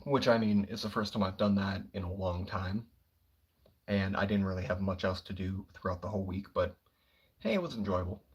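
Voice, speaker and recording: A young man talking quietly and casually on a livestream, rambling about comic books with lots of fillers. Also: deep voice, a little fast, slightly rough, muffled recording. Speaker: comic book streamer.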